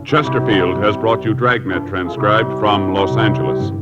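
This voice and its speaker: A man reading a formal announcement on a 1950s radio show, with a very low voice and a measured, matter-of-fact delivery. Loud music plays behind him on a dull, lo-fi transcription.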